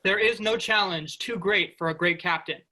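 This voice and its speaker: A man's voice: high-pitched, moderate pace, pushed and bright, exaggerated voice. A man playing a cocky, eager young spaceship worker in a staged reading of a sci-fi comedy. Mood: boastful. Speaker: actor in a student play reading.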